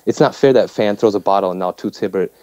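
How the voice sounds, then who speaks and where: Fast-talking man on a dull, roomy line. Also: on the low side, a little mellow. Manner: emphatic, repeats himself for emphasis. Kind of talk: impassioned opinion talk. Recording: dull, roomy lo-fi call audio.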